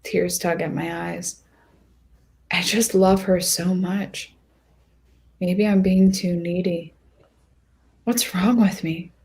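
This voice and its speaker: Woman as insecure lovesick young woman, first-person story narration, slightly low pitch, earnest, wounded, expressive. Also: slow, vocal fry, pauses often. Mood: sad, insecure.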